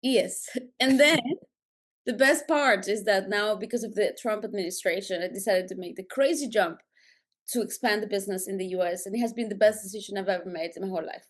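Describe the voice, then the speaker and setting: A woman with a Colombian accent chats as a podcast guest. She tells her story in short, quick fragments and laughs often. She talks over a remote call. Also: medium pitch. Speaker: podcast guest, business founder. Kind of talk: casual interview chat. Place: remote call from a jungle location.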